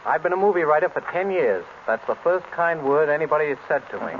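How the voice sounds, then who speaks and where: A man's voice: fairly high pitch, animated, fast. An American man speaking as a guest in a scripted 1930s radio interview. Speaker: screenwriter guest. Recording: tinny, noisy 1930s radio broadcast.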